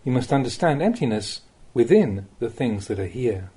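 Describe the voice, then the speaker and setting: A man reading a translated Buddhist talk aloud as a monk would teach, in measured, didactic, emphatic short phrases. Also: medium pitch, big pitch swings, dark tone, husky.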